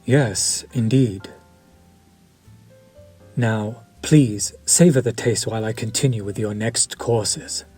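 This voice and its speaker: A man voicing a suave, courteous vampire chef who speaks formally and softly, sometimes near a whisper. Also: on the low side, soft background music. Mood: savoring. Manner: slow, formal and soft-spoken, dropping to near-whispers. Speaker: voice actor. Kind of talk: audio roleplay to the listener.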